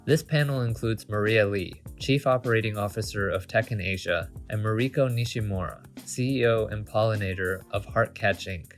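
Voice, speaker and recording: A man's voice: lowish voice, normal speed, hardly any pauses, soft background music. A man announcing the next panel and its speakers in a scripted way. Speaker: event announcer.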